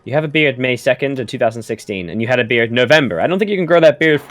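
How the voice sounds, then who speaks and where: A man's voice: medium pitch, lively intonation, racing, a bit bright, faint music behind. A man co-commentating a strategy game with a friend, teasing him with dry jokes and frequent laughter. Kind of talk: casual gameplay commentary chat.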